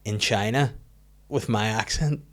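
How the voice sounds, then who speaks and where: A man's voice: lowish voice, unhurried. A man with a Northern Irish accent, a comedian chatting on a podcast in a rambling, profane, joking way. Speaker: comedian guest. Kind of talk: casual podcast chat.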